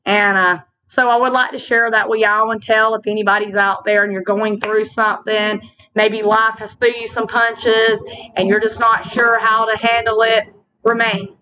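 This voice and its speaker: A woman with a slightly raspy voice, hosting a casual faith vlog, talking earnestly with fillers and slow reflective stretches into a laptop mic. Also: on the high side, flat delivery, pushed and bright, rough recording. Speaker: channel host. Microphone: laptop built-in mic.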